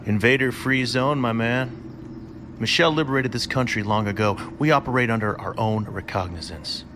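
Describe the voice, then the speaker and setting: An actor playing a cocky, sarcastic rebel who talks in a casual, chatty way. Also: male voice, mid-range voice, moderate pace, noisy background, lightly acted. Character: Caleb, cocky, sarcastic male rebel escort.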